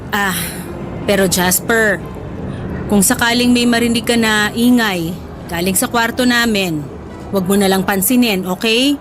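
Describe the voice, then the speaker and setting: A woman's voice: medium pitch, a little slow, long pauses, over music, close to an everyday voice. A woman playing a middle-aged Filipina mother in a radio drama, affectionate yet exasperated, with a Filipino accent. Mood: cautious, explaining. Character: Filipina mother, fond, teasing, scolding, uneasy about home. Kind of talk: Tagalog radio drama acting.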